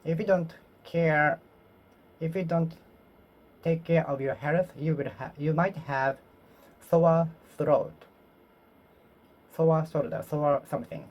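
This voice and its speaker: Solo learner, Japanese native, slow and halting, drills each phrase over and over. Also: mid-range voice, fairly even intonation, darkish tone. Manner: repeats phrases, halting and self-correcting. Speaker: English learner. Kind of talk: solo speaking practice, talking to oneself.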